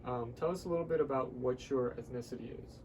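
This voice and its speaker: A man's voice: medium pitch, fast talker, a little mellow, distant mic, highs cut off, slight background noise. A man hosting a casual conversational podcast interview, often echoing short words of agreement as he listens. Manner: frequent short affirming responses, repeats words.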